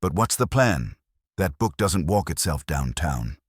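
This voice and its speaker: A man voicing a deep-voiced, wisecracking detective's sidekick. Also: big pitch swings, a little slow, breathy edge, no echo, studio quality, heavily acted. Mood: teasing. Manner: flippant, colloquial, needling. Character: wisecracking, irreverent male detective's assistant. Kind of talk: audiobook character dialogue.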